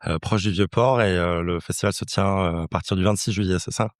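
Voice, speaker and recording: Low-voiced male podcast host. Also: brisk, dry recording, studio quality. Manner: informal, chatty, hesitant fillers. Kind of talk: casual interview questions.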